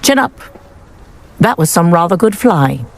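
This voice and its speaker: A woman voicing a strict but fair flying teacher in a video game, crisp and authoritative. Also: lowish voice, big pitch swings, measured pace, a little hiss or hum. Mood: encouraging. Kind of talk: video game character acting.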